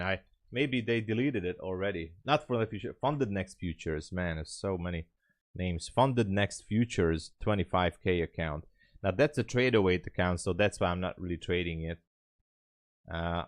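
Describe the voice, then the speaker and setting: A man with a Hungarian accent and a fairly low voice talks casually to his livestream viewers. He rambles and thinks aloud as he goes. The sound is dry, with very little room echo. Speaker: live trading streamer.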